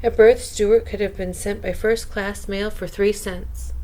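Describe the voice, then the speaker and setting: A woman reading a children's book aloud as a bedtime storyteller, expressive, with chatty asides to young listeners. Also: medium pitch, a little slow, poor audio.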